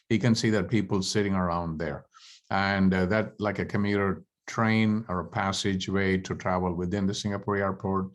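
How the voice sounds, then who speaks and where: Low-voiced man presenting with many pauses. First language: Tamil. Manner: halting, many "uh" fillers and restarts. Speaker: study abroad program presenter. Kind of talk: informational presentation to prospective students.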